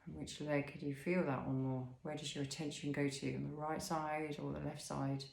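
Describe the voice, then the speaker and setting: A man leading a guided body scan as an instructor, speaking in a soothing, unhurried way with pauses and gentle questions. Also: a little high, little pitch movement, creaky, roomy sound, slight background noise.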